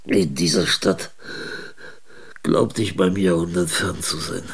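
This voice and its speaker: An actor playing a weary, bitter old man who talks slowly and reflectively in a radio drama monologue, musing aloud about memory. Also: sits low, fairly even intonation, gravelly, breathy edge, exaggerated voice. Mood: brooding. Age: older. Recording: piano music behind. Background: piano playing.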